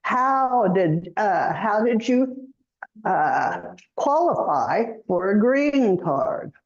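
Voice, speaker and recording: A woman teaching a citizenship class, speaking slowly and clearly and drilling words for students to repeat. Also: mid-range voice, big pitch swings. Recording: roomy, muffled classroom audio.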